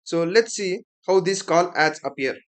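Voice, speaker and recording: A man's voice: sits high, animated, normal speed. A man with an Indian accent giving a step-by-step tutorial as an instructor, explaining and often checking in with the listener. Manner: explanatory, checks in with "right?" and "okay".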